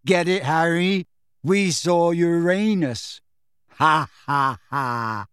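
A man voicing a dazed teenage boy in a storybook, slow, giggly and feeble. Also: a little high, a bit bright, clear, resonant tone, no room sound, clean studio sound. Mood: dazed, giddy.

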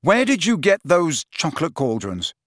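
A man's voice: fairly high pitch, wildly swooping pitch, normal speed, fairly bright tone, no room sound, clean studio sound, pitched above the performer's own voice, exaggerated voice. A man voicing a sensible, exasperated teenage boy in an audiobook, matter-of-fact and impatient. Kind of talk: audiobook character voice.

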